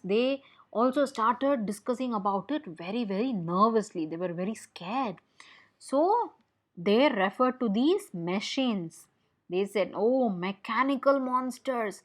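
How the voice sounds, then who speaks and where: A young woman with an Indian accent telling a picture-book story to children, explaining as she goes and asking questions in an expressive way. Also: fairly high pitch, a little slow. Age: young adult.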